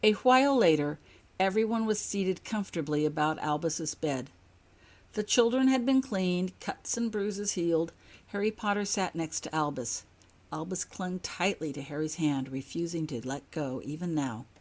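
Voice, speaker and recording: Low-pitched woman reading a story aloud. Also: lively intonation, moderate pace, darkish tone. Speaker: author reading own fan fiction. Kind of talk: scripted story narration, audiobook reading.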